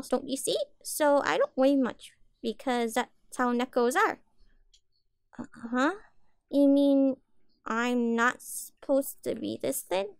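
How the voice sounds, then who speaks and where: A woman's voice: high-pitched, creaky, dry recording, studio quality, full character voice. A woman playing a small, meek, eager-to-please catgirl in an audio roleplay, stammering hesitantly and apologetically. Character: timid, eager-to-please small catgirl, homeless stray kitten. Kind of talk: audio roleplay addressed to the listener.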